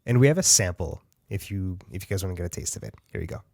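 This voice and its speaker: A man's voice: deep voice, very animated, fast, vocal fry, a little mellow, no echo, studio quality. A man hosting an audiobook podcast, interviewing an author in an enthusiastic, chatty way. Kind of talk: casual interview.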